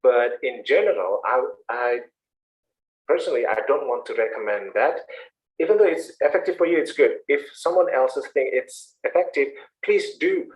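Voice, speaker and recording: A man's voice: mid-range voice, animated, moderate pace, poor audio. A man with an Indonesian accent, an English instructor explaining and encouraging students in an online class. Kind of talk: answering questions in an online class. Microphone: online video call.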